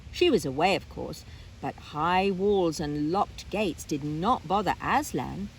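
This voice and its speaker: A woman narrating a children's story aloud in an expressive, dramatic style with deliberate phrasing. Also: fairly low pitch, a little hiss or hum, rough recording.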